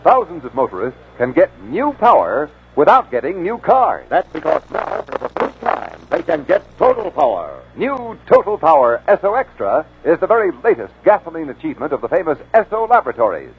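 A man pitching a product in a high, lively, sing-song announcer voice. His tone is husky and thin, heard through a muffled, phone-narrow old radio recording. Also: a little fast, few pauses. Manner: emphatic, salesy. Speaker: commercial announcer. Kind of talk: 1950s radio ad read.

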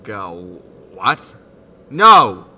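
Man playing a bright-voiced, exasperated space captain. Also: on the low side, huge pitch swings, drawn-out words, exaggerated voice. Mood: indignant. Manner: indignant, grumbling. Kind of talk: sci-fi sitcom audio drama acting.

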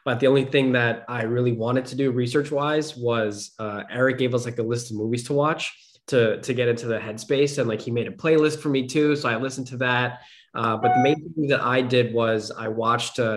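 A male actor on a video call, talking in a relaxed, playful, self-described goofy way. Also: medium pitch, moderate pace.

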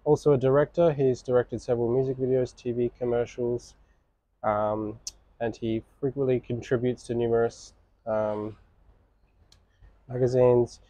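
A man's voice: mid-range voice, level tone, moderate pace, warm tone, no echo, muffled recording. A young man hosting an interview, reading a guest introduction with fillers on an outdoor terrace. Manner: reads intro with fillers, laughs lightly. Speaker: interviewer host.

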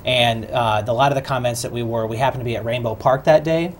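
A man in his thirties, a city councilman, explaining at length as a talk show guest in a small studio, steady with some ums. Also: medium pitch, slight background noise. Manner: measured, explanatory, with fillers. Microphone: lapel mic.

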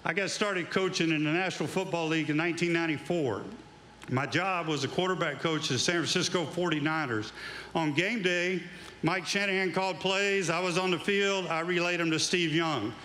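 A man's voice: on the high side, a little fast, sharp tone, echoey room, a little hiss or hum. A middle-aged man, a former coach, giving an induction speech at an outdoor awards event, telling folksy stories into a handheld mic. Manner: folksy storytelling, building to emphatic tribute.

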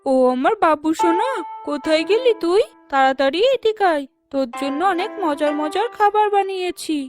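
A woman playing a cruel, scheming stepmother in a children's cartoon, with a sharp, domineering manner. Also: very high-pitched, animated, moderate pace, music in the background. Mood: doting.

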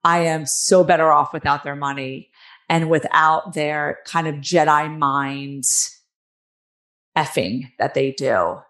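A woman in her forties with a raspy, nasal New Jersey accent, telling her own story candidly and emphatically as an interview guest on a video call. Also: deep voice, slow, a bit bright. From New Jersey, United States. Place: small room at home, on a video call. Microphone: headset on a video call.

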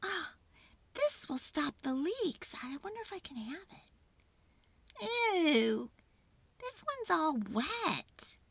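A woman's voice: high voice, very animated, slow pace, long pauses, a bit bright, voice pushed up, full character voice. A woman voicing curious, opinionated neighborhood passersby in a storybook, folksy and exclamatory. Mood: intrigued.

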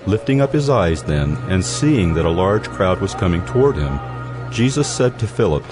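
A man's voice: sits low, big pitch swings, normal speed. A man narrating scripture from a script as part of a dramatized reading. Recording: faint music bed.

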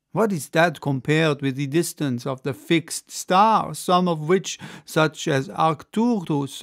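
Storybook orator, male, acted by a male reader: bold, grandiloquent, emphatic delivery, highish and creaky. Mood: grand, persuasive. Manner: declamatory, emphatic.

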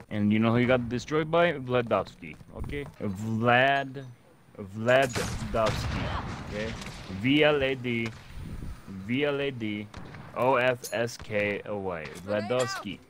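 A man putting on a fake Russian accent as a boastful gamer who talks about himself in the third person, in broken English, over in-game voice chat. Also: fairly low pitch. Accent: put-on Russian. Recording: game noise and gunfire behind.

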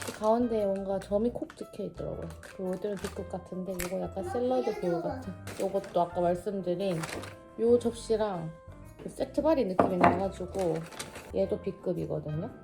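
A woman in her thirties, a mother vlogging in a casual, cheerful way and showing off dishes she bought. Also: mid-range voice. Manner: chatty, cheerful, shows off purchases. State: sore throat from a cold. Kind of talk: casual vlog chat and voice-over. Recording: background music.

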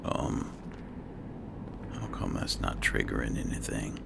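A man's voice: extremely low pitch, level tone, slow pace, creaky, very noisy. A man giving roleplay commentary on a video game in muttered asides, playfully talking to his companion in character. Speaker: gameplay host.

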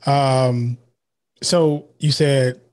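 A relaxed man hosts an interview podcast. He asks questions casually with plenty of filler sounds, speaking into a studio microphone. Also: mid-range voice, very slow. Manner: relaxed, many filler ums. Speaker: podcast host. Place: home studio. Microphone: studio mic with headphones.

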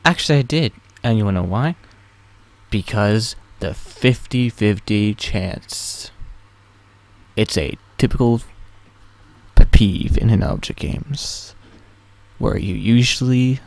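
A man's voice: fairly low pitch, slow, vocal fry, long pauses, darkish tone. A young man giving casual, wry and sarcastic commentary as he plays a video game. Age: young adult. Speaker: let's play host.

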